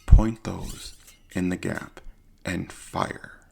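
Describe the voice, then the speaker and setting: A man's voice: low voice, steady tone, drawn-out words, gravelly, warm tone, husky, heavily acted. A man voicing a defiant, battle-hardened woman soldier who gives curt, controlled commands in an audio drama. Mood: commanding.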